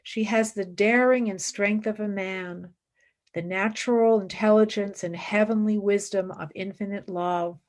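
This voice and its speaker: A woman's voice: medium pitch, fairly even intonation, unhurried, natural voice. An actress playing a fervent, devout woman philosopher and mystic in a radio play, speaking in intense, formal, reverent declamation. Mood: calm, resolute. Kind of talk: radio play acting, monologue and dialogue.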